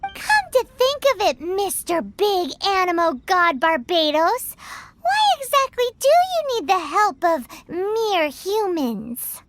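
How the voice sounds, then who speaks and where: A tiny, cheeky fairy companion, with a very high, squeaky voice that swoops up and down. She speaks slowly and teases bluntly. Soft game music plays behind her. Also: big pitch swings, a little hiss or hum, exaggerated voice. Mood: curious. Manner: teasing, blunt. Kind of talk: video game voice acting.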